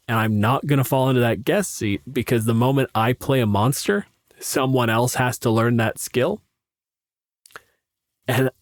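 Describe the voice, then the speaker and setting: A man's voice: medium pitch, dry recording, studio quality. A man chatting casually and frankly as a podcast co-host and game master, swearing freely.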